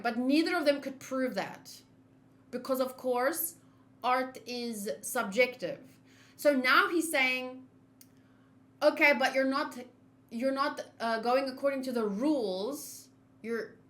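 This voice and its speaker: A woman's voice: high-pitched, a bit bright. A woman giving casual live game commentary, explaining her opinions in a chatty way as a streamer.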